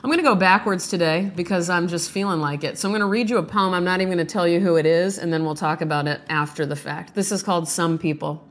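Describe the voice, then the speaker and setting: Low-voiced woman, chatty and self-mocking, voice rough from a sinus infection, solo in a home studio. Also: fast talker, hardly any pauses. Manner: chatty, self-deprecating, joking. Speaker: podcast host reading poems. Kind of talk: casual chat and poetry reading.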